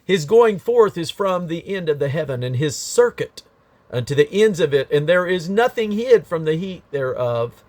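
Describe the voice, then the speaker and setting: A man's voice: a little high, lively intonation, normal speed, darkish tone, no room sound. A man reading scripture aloud in a solemn, emphatic, declamatory way, stressing his phrases. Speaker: Bible reader.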